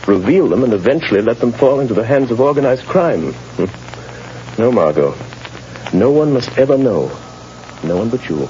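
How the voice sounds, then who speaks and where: Deep, suave gentleman crimefighter. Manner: urbane, grave, theatrical. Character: suave, determined gentleman crimefighter with a secret identity. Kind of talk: 1930s radio drama acting. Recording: dull, noisy 1930s radio recording.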